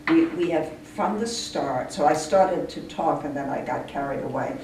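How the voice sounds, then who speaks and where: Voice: medium pitch, normal speed, a little mellow. A person explaining things earnestly in an informal interview, as the leader of a poetry reading group. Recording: echoey distant room recording, clear noise.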